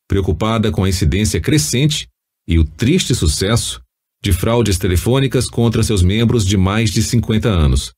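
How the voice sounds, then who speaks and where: Brazilian male audiobook narrator, lowish husky voice, instructive measured delivery. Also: a little mellow. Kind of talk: scripted audiobook narration of nonfiction.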